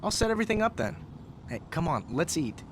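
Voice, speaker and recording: A man's voice: fairly high pitch, sing-song, fairly quick, slight background noise. An actor playing a young male heir who is friendly with friends but holds a vengeful grudge. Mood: casual. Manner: casual, teasing.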